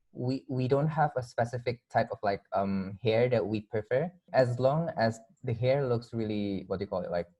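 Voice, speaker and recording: Man, Indonesian accent, medium pitch, warm dark tone, casual discussion guest, hedging delivery.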